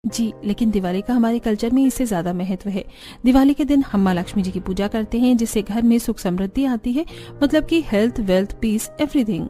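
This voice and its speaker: A woman's voice: a little high, brisk, mellow, voice pushed down, close to an everyday voice. A woman voicing a kind young daughter-in-law in a cartoon, explaining her traditions politely and earnestly. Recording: music bed under the voice.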